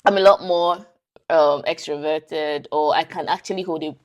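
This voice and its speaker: A woman's voice: deep voice, steady tone, moderate pace, a little mellow. A woman vlogging from her apartment, telling a story in a chatty way and laughing often. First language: English. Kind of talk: casual vlog storytelling.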